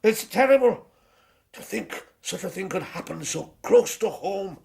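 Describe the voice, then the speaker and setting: A fearful, boastful householder in a radio play. His voice is high and heavily creaky, and he talks in excitable, repetitive, emphatic bursts. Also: measured pace, exaggerated voice. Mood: agitated, horrified. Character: agitated, fearful householder, boastfully cunning, guarding his money. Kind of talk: radio drama acting.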